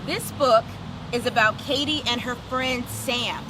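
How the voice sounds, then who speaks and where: High-pitched woman hosting children's story time. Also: unhurried, background noise. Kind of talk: children's read-aloud with direct questions to young viewers. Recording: music under the voice. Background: music.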